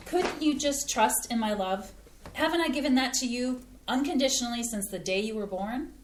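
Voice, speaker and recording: A woman's voice: a little high, normal speed, noisy background, lightly acted. A woman reading the part of a fed-up middle-aged mother and wife in an amateur staged play reading, speaking emphatically and scolding. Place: theater stage. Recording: roomy stage sound.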